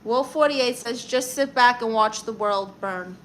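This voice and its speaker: Brassy Brooklyn woman, bright voice. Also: fairly high pitch, a little hiss or hum, rough recording. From Brooklyn, New York. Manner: brassy, sassy, quick comebacks. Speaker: livestream host giving advice. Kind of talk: casual livestream chat reading comments.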